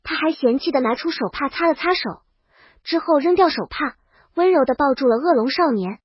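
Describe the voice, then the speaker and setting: A woman's voice: high-pitched, animated, a little fast, no echo, dull audio. A woman reading a comic first-person story as a scripted audiobook narration.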